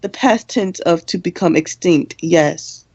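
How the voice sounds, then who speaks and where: Woman acting a dry sensible vampire schoolgirl radio host, lowish voice, kinda muffled roomy recording. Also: a little slow, a little mellow, husky. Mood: matter-of-fact. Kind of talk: amateur radio play, mock school broadcast.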